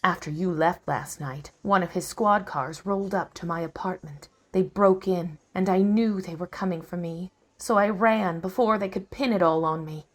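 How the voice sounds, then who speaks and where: An actress playing a dramatic, world-weary femme fatale heiress in a 1940s-style radio play. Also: female voice, on the low side, normal speed, few pauses, natural voice. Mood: urgent.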